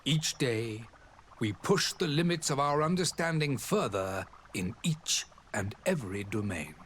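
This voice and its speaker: A dignified game diplomat with a mid-pitched, highly expressive delivery. He is formal, proud and eloquent, measuring out courteous sentences over faint ambient noise. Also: unhurried. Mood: proud, explanatory. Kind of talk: formal role-playing game dialogue.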